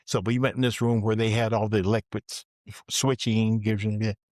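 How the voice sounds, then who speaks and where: An older man being interviewed, slowly and plainly telling the story of his working life and dropping his voice for asides. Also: fairly low pitch, flat delivery, darkish tone, dry recording. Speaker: interviewee recounting his career. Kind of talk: slow, deliberate personal storytelling.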